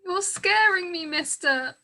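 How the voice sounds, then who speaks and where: A woman's voice: extremely high pitch, expressive, measured pace, pushed and bright, heavily acted. A woman playing a timid, innocent little peasant girl in an amateur myth play reading. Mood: frightened.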